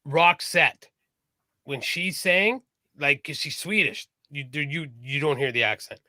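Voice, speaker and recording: A man's voice: a little high, unhurried, pushed and bright, no room sound, studio quality. A man co-hosting a casual live-streamed podcast, chatty and enthusiastic about music, swearing casually. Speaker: podcast co-host.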